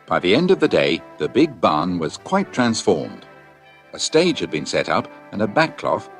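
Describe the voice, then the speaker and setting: A man narrates a children's story from a script. His voice is deep, with lively rises and falls. Soft music plays behind him. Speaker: audiobook narrator.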